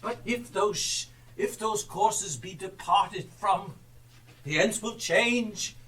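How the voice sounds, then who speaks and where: An actor playing a frightened, repentant old miser on stage, with a halting, pleading delivery. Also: male voice, high-pitched, bright, forceful tone, full character voice. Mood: solemn dread. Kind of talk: theatrical live stage acting. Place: theatre stage. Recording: distant live stage recording.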